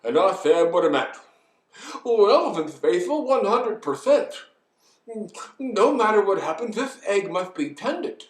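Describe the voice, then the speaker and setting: A woman voicing a huge, gentle, faithful male elephant who speaks haltingly and earnestly in a children's story. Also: mid-range voice, sing-song, roomy sound, distant mic, voice pushed up. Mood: stoutly determined.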